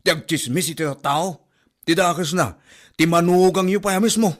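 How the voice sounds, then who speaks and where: A man's voice: sits high, moderate pace, fairly bright tone. A man playing an exasperated adult son who scolds his mother with reproachful questions in an amateur comedy audio drama.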